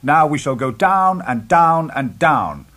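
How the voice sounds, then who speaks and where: An older professor on an expedition, acted by a male narrator, declaims with excitement and command. His pitch is raised and leaps about, and the voice has a husky grain. Every phrase lands as an emphatic storybook exclamation. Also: takes it slowly, heavily acted. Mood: elated.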